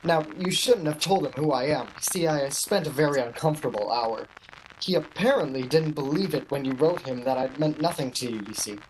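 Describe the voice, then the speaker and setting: A woman acting in a radio play as an awkward, slyly teasing male shop clerk, with a halting, understated delivery. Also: low-pitched, expressive, darkish tone, a little hiss or hum, poor audio. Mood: hesitant, coy. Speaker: amateur actor.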